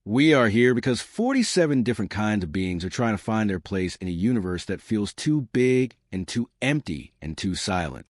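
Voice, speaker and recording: A man voicing a quiet, compassionate male diplomat in a sci-fi story, speaking gently and with certainty. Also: lowish voice, sing-song, normal speed, few pauses, no echo, studio quality. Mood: gentle, solemn. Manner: soft-spoken, gentle, certain.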